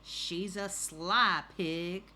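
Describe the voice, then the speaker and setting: A woman's voice: high-pitched, huge pitch swings, unhurried, slight background noise, pitched above the performer's own voice, exaggerated voice. Woman putting on a crabby Southern neighbor lady. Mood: grumpy, suspicious. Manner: grumpy, disapproving.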